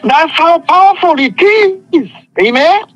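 Very high-pitched, emphatic male preacher. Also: expressive, unhurried, a bit bright, no echo, dull audio. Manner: emphatic, repetitive, seeking audience responses. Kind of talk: impassioned call-and-response sermon. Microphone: phone conference call.

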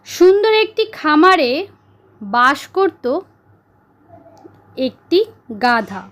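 Slow, high-voiced woman storyteller. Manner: slow, deliberate, expressive storytelling. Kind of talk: children's story narration.

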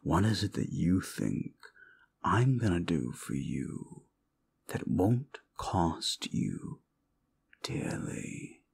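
A man playing a condescending, sardonic ancient demon in an audio roleplay, gravelly, with theatrical, mocking delivery. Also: sits low, big pitch swings, very slow, darkish tone, heavily acted. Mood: menacing, teasing. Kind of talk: audio roleplay monologue addressed to the listener.

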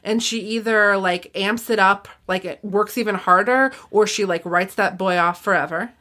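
A woman's voice: medium pitch, moderate pace, pushed and bright. A woman hosting a podcast, chatting casually about books in a conversational way, with plenty of "like" and "you know". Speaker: podcast host.

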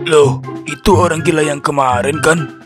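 A man's voice: fairly high pitch, steady tone, moderate pace, close to an everyday voice. A man voicing a bored, grumbling night watchman in a comedy cartoon, with music behind.

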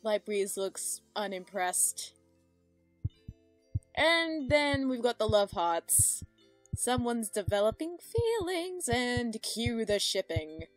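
A woman's voice: fairly high pitch, big pitch swings, takes it slowly, no room sound, faint music behind. A woman giving casual, gushing, giggly reaction commentary.

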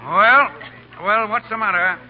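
An actor playing an impatient, slangy American gangster ringleader in a 1930s radio play. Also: male voice, sits high, unhurried, crowd noise. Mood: bossy. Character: bossy, exasperated gangster ringleader rehearsing his mugs. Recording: tinny, narrow-band 1930s radio recording.

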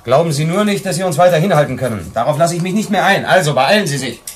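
A man's voice: a little high, lively intonation, fast, a little hiss or hum, natural voice. An actor playing an impatient, distrustful man in a radio play, brusque and insistent. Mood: impatient, angry. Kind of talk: German radio play acting.

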